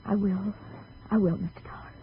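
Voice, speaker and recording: An actress playing a troubled, guarded American femme fatale with melodramatic hesitations, in 1950s radio drama style. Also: female voice, mid-range voice, fairly even intonation, a little fast, lightly acted. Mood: agitated. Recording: dull, faintly noisy vintage radio recording.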